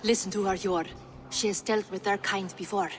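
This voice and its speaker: A woman's voice: mid-range voice, steady tone, normal speed, faint music behind, close to an everyday voice. An actress playing a wary Norse woman in a video game, guarded and earnest. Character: wary, rattled Norse woman, loyal old friend. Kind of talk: dramatic video game acting.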